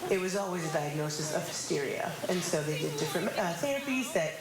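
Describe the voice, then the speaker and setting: A woman explaining informally in an interview, reflective and hesitant, with halting phrasing. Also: deep voice, lively intonation. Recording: roomy echo under loud music.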